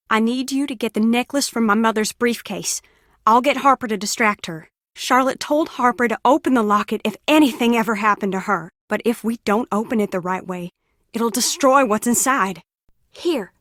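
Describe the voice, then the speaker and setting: A troubled young woman in a mystery game, with a fairly high voice. She is earnest and urgent, pleading as she confides. The sound is close and dry. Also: a bit bright, no room sound. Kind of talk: scripted game voice acting.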